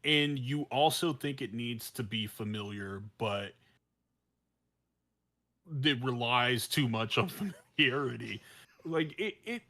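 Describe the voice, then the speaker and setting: Male podcast co-host, mid-range voice, opinionated and incredulous, halting delivery with repeated restarts. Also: mid-range voice, lively intonation, sharp tone. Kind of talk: casual opinionated discussion.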